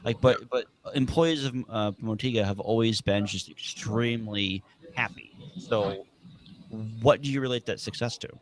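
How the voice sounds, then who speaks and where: Low-voiced co-host, heavy on "like". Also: male voice, normal speed, slight background noise, rough recording. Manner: filler-heavy, says "like" often. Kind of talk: casual interview chat.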